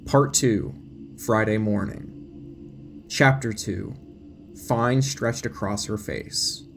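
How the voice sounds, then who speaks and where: A man narrates an audio drama in a scripted, dramatic style. His pitch sits in the middle range for a man. Music plays clearly underneath his voice. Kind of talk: scripted dramatic narration reading all parts.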